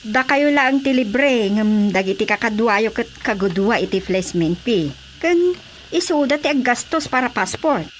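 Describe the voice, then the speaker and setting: A woman's voice: fairly high pitch, animated, moderate pace, a little hiss or hum, close to an everyday voice. A woman playing a persuasive, businesslike madam recruiter in a radio drama comedy.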